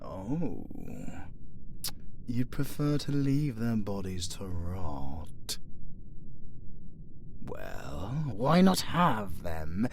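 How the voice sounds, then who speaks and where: Wildly swinging theatrical necromancer villain. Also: male voice, fairly low pitch, big pitch swings, drawn-out words, gravelly, pauses often, a little hiss or hum, exaggerated voice. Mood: teasing, coaxing. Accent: British. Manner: theatrical, swinging from intimate murmurs to shrieking outbursts. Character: gleeful flirtatious male undead necromancer villain, theatrical and boastful. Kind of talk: romantic dark-comedy audio roleplay, speaking to a lover.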